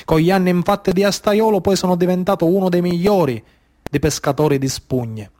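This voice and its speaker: Man playing an old Sicilian fisherman, higher-pitched. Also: a little fast, a little mellow, close to an everyday voice. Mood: nostalgic. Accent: Sicilian, Trapani. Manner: colloquial, emphatic, reminiscing in dialect-laced speech. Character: old Sicilian tuna-fishing chief, nostalgic, weathered storyteller. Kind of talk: theatrical monologue.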